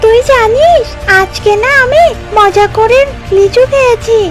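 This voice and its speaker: A woman does a little animal girl for a children's cartoon. She is chatty, open-handed and childlike, pitched far up high. Music plays underneath. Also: unhurried. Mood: gleeful.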